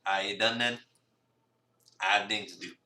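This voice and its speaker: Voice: sits low, fairly even intonation, moderate pace, pushed and bright, pitched below the performer's own voice. A performer voicing an aloof talking male cat, curt and dismissive, in a visual novel reading. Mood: dry, curt. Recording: roomy, distant mic with noise.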